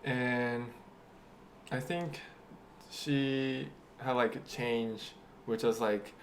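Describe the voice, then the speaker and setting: A man's voice: mid-range voice, fairly even intonation, extremely slow, slight background noise. A young man, chatting casually and telling a story full of "like" fillers. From Japan. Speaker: guest. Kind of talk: casual chat.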